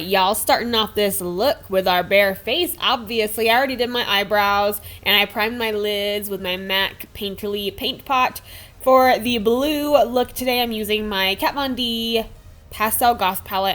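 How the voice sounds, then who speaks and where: A woman's voice: medium pitch, moderate pace, a bit bright. A young woman in her twenties chatting casually through a makeup tutorial, a bit nasal from a cold. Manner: chatty and upbeat, lots of "like" and "super". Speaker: beauty vlogger. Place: small room at home with a sparkly backdrop.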